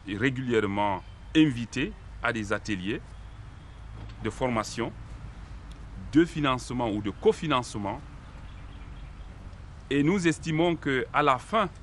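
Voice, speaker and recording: A man with a Cameroonian accent being interviewed formally, speaking in deliberate, sometimes hesitant phrases as an industry representative. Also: mid-range voice, fairly bright tone, slight background noise. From Far North region of Cameroon.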